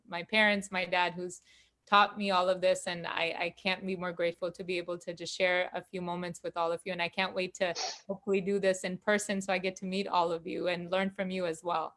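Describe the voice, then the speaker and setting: A woman guest musician speaking humbly on a video call, soft-spoken with halting restarts. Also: fairly low pitch, flat delivery. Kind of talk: casual thanks on a video call.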